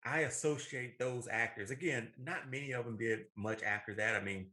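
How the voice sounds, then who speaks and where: American man, 30s, movie show co-host. Bright, punchy voice, emphatic opinions, casual and enthusiastic delivery. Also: mid-range voice, normal speed.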